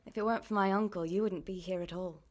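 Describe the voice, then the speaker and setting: A woman acting a caring, world-weary young miller's niece in a medieval video game drama, plain-spoken and gently chiding. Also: fairly low pitch, fairly even intonation, quick pace, background noise, natural voice. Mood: brisk, wry.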